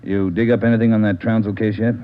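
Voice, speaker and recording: An actor playing an impatient, demanding American chief of detectives in an old radio drama, brusque and commanding. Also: male voice, lowish voice, level tone, fast, close to an everyday voice. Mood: exasperated, demanding. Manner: brusque, forceful orders. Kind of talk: 1940s-50s radio police drama acting. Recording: tinny old radio recording.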